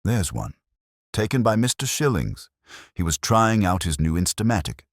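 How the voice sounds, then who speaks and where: Male reader as keen young woman reporter, mystery audiobook, chatty, confiding, lively intonation, dry close sound. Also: on the low side, moderate pace, no echo, pitched above the performer's own voice. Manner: chatty, conspiratorial. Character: bright, eager young female gossip columnist.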